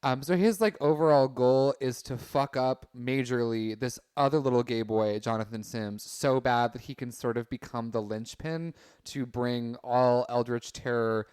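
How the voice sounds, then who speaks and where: Campy man with a clear, smooth voice. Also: medium pitch, moderate pace, few pauses, a little mellow, no echo. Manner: slangy, campy. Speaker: podcast panelist. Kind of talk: casual chat.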